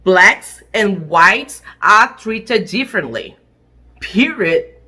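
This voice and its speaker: A woman who is an attorney and an immigrant, giving an impassioned personal statement in English, her second language, in short, deliberate, emphatic phrases. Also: medium pitch, animated, bright, forceful tone, breathy edge. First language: not English.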